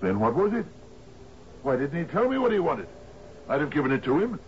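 An actor in a radio drama playing an anxious, genial older island captain, halting and stammering as he pleads. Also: on the high side, big pitch swings. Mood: anxious, pleading. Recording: muffled, hissy old radio broadcast.

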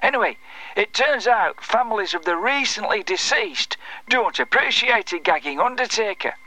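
A man's voice: sits high, animated, normal speed, pushed and bright, heavily acted, rough recording. A man playing a desperate, apologetic undertaker who cannot stop joking, rambling and interrupting himself in an audio drama phone message. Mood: flustered, pleading.

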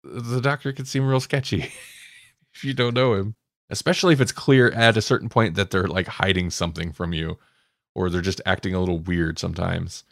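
A man's voice: deep voice, moderate pace, vocal fry, a bit bright, no room sound, studio quality. A man co-hosting a podcast, chatting casually and giving his opinions in a conversational way. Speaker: podcast co-host. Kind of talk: casual fan discussion chat.

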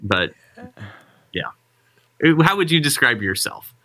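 A man's voice: medium pitch, very animated, measured pace, pushed and bright. A middle-aged man, a pastor, talking casually and rambling with many restarts. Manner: rambling, self-interrupting, frequent restarts. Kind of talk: casual podcast conversation.

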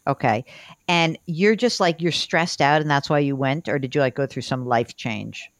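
A woman from New York hosting a podcast in casual chat, animated, wry and self-deprecating. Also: low voice, moderate pace, no room sound, studio quality. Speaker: podcast host. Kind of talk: casual chat, audience address.

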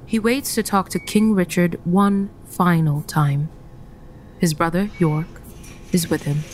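A woman's voice: fairly low pitch, steady tone, takes it slowly, a little hiss or hum. A woman narrating a radio drama as a grave, plain storyteller in scripted present tense.

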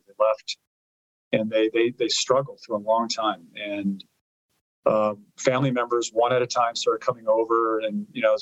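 A man's voice: fairly low pitch, dry recording, poor audio. A man in his fifties, a guest in an interview, telling family stories in a rambling way, often repeating words as he searches for his thought; Italian was his first language. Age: 50s. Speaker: guest, lawyer and author. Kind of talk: storytelling interview.

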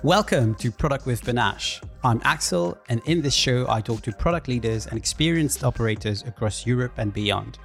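A man's voice: medium pitch, moderate pace, creaky, hardly any pauses, music in the background. A man hosting a podcast, introducing his guest and asking interview questions in a conversational way. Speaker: podcast host.

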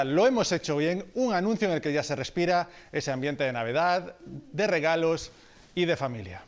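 A man anchoring a news show, presenting stories and handing over to colleagues. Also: high voice. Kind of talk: news presenting with co-host banter.

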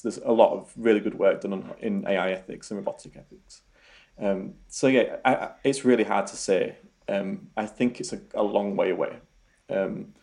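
A man's voice: lowish voice, gravelly, a little mellow. A young man with a Yorkshire accent, a researcher answering interview questions in a hesitant way, full of ums and repeated words. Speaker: robotics researcher guest.

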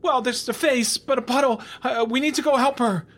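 Nervy, confused space crewman: very high voice, stammering, faint ambient noise behind. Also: a little fast. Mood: alarmed, flustered. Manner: flustered, stammering. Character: anxious, confused, well-meaning crew member. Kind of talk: improvised dark comedy sci-fi audio drama.

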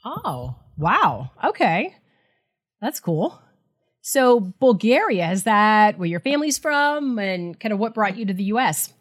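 A woman hosting a podcast, interviewing her new co-host in a lively, laughing manner. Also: mid-range voice, measured pace.

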